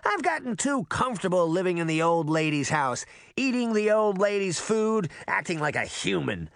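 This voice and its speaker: High, cocky trickster fairy boy. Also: very animated, moderate pace, creaky, no echo, studio quality, exaggerated voice. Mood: taunting, boastful. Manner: boastful, taunting, loud. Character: cocky mischievous immortal fairy boy, gleefully rebellious trickster. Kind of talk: children's fantasy audiobook character.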